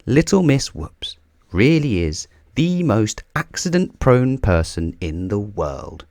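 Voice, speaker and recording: A man reading a children's storybook aloud in a theatrical, deliberate way, drawing out key words for emphasis. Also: lowish voice, very animated, darkish tone, no echo, studio quality. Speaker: children's book reader. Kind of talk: scripted storybook read-aloud.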